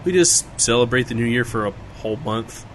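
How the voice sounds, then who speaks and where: Guy acting as a bemused small town local, folksy n casual, pitch jumps around a lot, audio drama. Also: expressive, a little fast, slight background noise, lightly acted, rough recording. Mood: easygoing. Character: Jesse, easygoing small-town local man, bemused and wry.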